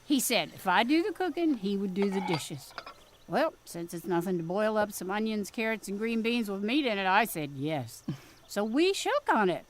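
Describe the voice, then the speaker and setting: A woman's voice: medium pitch, big pitch swings, moderate pace, slight background noise, lightly acted. Actress as a folksy, plainspoken farm mother. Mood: wry, chatty. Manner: homespun, rambling storytelling. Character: folksy farm mother, wry, plainspoken, remorseful about her son. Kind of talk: audio drama acting, conversational.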